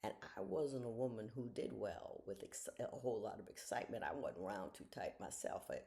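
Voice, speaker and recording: A woman in her sixties talking casually to viewers from home in the early morning, giving frank, folksy advice and sometimes dropping to a soft half-whisper. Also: a bass voice, expressive, a little fast, vocal fry. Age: 60s. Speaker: retired judge giving life advice. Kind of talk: casual reflective talk to viewers. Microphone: camera she leans toward.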